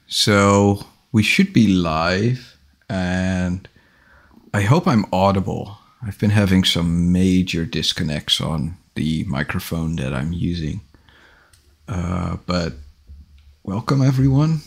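Low-voiced husky man livestreaming from home. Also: takes it slowly. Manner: relaxed, rambling, self-correcting. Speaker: livestream host. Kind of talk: casual livestream chat. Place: small home office room. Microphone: large studio microphone on boom arm, headphones.

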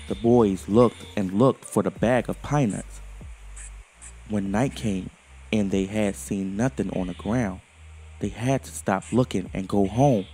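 A man hosting and narrating a children's story in a deliberate, expressive manner. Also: lowish voice. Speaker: storyteller, host.

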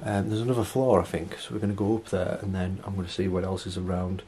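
A man's voice: low-pitched, fast, slight background noise. A man in his thirties from England, talking casually and exclaiming in awe as he explores an abandoned house. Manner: excited, awestruck exclamations while walking around. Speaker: urban explorer vlogger. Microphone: handheld camera mic.

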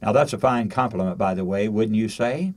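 An older man preaching a radio sermon, emphatic and deliberate, using rhetorical questions and repetition and reading out scripture. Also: fairly low pitch.

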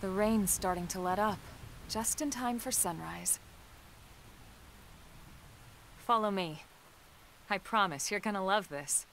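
A woman's voice: medium pitch, moderate pace, a bit bright, a little hiss or hum, natural voice. An actress playing a cool, confident young female soldier in a video game, speaking in a composed, conversational way. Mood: assured, reflective. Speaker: video game character voice actor. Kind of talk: scripted video game cutscene acting. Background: background music.

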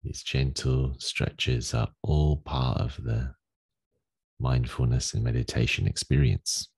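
A man leading a guided meditation as a teacher, giving gentle, unhurried instructions with long pauses in a gravelly, husky voice. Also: rumbling low, fairly even intonation. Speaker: meditation teacher leading a daily group session.

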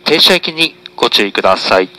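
A man's voice: medium pitch, expressive, brisk, sharp tone, poor audio. A man, a train conductor, making a formal, polite, routine live announcement on board.

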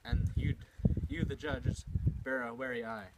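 A man's voice: mid-range voice, steady tone, measured pace, lightly acted. A young man playing a scheming, ceremonious king, declaiming in an amateur Shakespeare performance. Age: young adult. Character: scheming, ceremonious king presiding over a duel. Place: outdoors on a backyard deck. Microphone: camera a few meters away. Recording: very noisy outdoor camera microphone.

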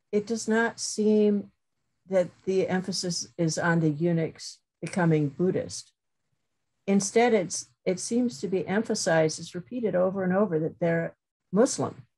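A middle-aged woman professor giving a thoughtful webinar talk over a video call, pausing with many fillers and restarts. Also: on the low side, creaky, mellow, low-quality recording. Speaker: guest lecturer, professor.

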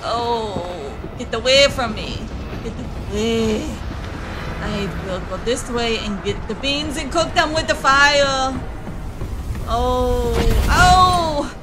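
A woman puts on a tough, whiny young game heroine. She complains bluntly in broken phrasing, in a comic parody style. Music is clearly heard behind the voice. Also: on the high side, takes it slowly. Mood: defiant, complaining. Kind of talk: comedic game parody dub.

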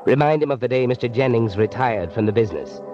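An actor playing a sardonic, taunting male inner voice, deliberately goading, in old-time radio drama narration style. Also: mid-range voice, expressive, close to an everyday voice. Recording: muffled old radio recording. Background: dramatic music.

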